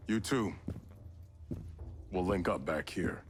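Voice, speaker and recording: An actor playing an earnest, resolute soldier in a video game cutscene, measured and serious. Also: male voice, low voice, music in the background. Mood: businesslike. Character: earnest, resolute male soldier turned revolutionary believer.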